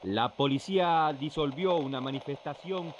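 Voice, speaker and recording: Faint noise sits under a man's fairly high voice. He reads news headlines like a radio announcer on an archive broadcast. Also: moderate pace, muffled recording. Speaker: radio newsreader.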